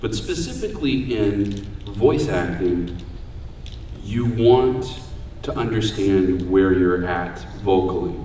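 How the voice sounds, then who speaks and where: A man with a deep voice giving a casual, joking talk about voice acting on a convention panel. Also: animated, slow, mellow, a little hiss or hum. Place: large convention hall room. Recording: echoey hall, voice far from mic.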